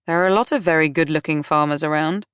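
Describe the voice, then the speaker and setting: A woman's voice: low voice, quick pace, a bit bright, clear, resonant tone, dry recording, clean studio sound. A woman voicing a weary, level-headed woman in an audiobook, matter-of-fact and subdued. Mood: dry.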